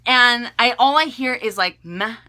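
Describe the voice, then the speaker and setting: A woman vlogger telling a story to camera in a loud, animated, rambling way. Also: fairly high pitch, unhurried. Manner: animated, loud, not soft-spoken; rambling with many fillers and restarts.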